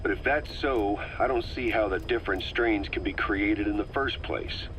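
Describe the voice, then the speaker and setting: Military interrogator for a video game male actor: inquisitive, practical, blunt, slightly low register, faintly noisy cassette. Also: moderate pace, fairly bright tone, natural voice. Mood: probing, sceptical. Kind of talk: video game voice acting, questioning dialogue. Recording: cassette tape with faint background hum.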